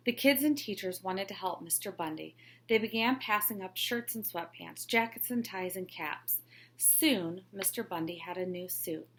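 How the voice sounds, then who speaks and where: Teacher narrator, expressive read-aloud for kids, mid pitch, faint hum behind. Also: female voice, normal speed. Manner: clear, expressive storytelling. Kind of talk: children's read-along narration.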